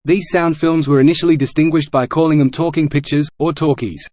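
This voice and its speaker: A man's voice: on the high side, fairly even intonation, a little fast, a little mellow, no echo. A man reading a scripted practice passage aloud.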